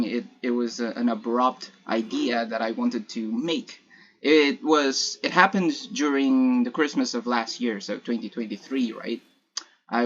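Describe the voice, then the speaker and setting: A man's voice: medium pitch, fairly bright tone, dull audio. A young man whose first language is Ilocano, talking casually in English while he plays a game. He hesitates often, restarts his sentences and corrects himself. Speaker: solo podcaster playing a block-building game. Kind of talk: casual rambling commentary while gaming.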